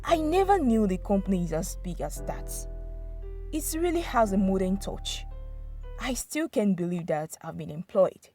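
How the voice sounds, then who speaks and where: A woman's voice: medium pitch, big pitch swings, normal speed, dark tone, faint music behind. A woman voicing an earnest young female graduate in a narrated folktale. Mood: amazed joy.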